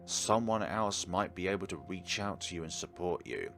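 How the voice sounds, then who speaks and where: Low, gravelly man reading game dialogue dramatically. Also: lively intonation, normal speed, music in the background. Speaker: video game playthrough host. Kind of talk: dramatic read-aloud of game text with his own commentary.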